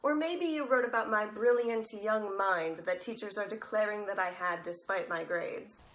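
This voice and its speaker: A woman's voice: medium pitch, moderate pace, natural voice. A young woman acting a teenage girl, wry and wistful, reading letters in a stage monologue. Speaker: stage actor.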